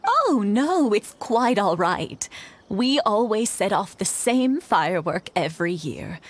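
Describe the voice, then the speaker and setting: A fond, teasing old wife. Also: female voice, mid-range voice, sing-song. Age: older. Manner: slow, fond, gently teasing.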